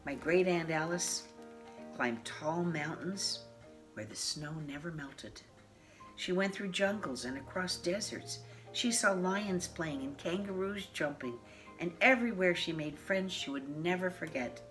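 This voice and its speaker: A woman of mature years reading a children's picture book aloud in a measured, expressive way. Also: fairly low pitch. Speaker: storybook reader. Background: classical piano music.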